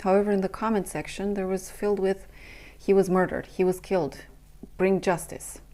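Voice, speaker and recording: Earnest Romanian-born woman speaking haltingly. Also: medium pitch, steady tone, mellow. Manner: earnest, halting, with pauses and fillers. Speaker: intimacy and breathwork coach. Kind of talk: personal commentary monologue.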